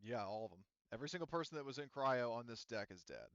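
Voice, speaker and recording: Man, game master, smooth clear voice, casual narration, dry close sound, slightly dull recording. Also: mid-range voice, lively intonation, fast, fairly bright tone, no echo.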